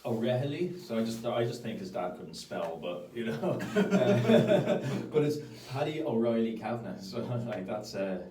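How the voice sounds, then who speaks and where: A man's voice: medium pitch, very animated, a little slow, hardly any pauses, crowd noise. A man in a casual conversation, talking in a wry, teasing way. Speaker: graphic designer, guest. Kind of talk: casual chat. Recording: roomy, distant mic, faint background noise.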